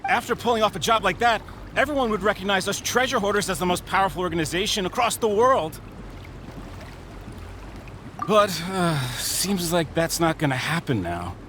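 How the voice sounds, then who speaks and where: A jumpy bandit underling in a video game. His high voice is sharp-edged and moves animatedly. He is eager and excitable. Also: normal speed, a little hiss or hum. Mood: confessing.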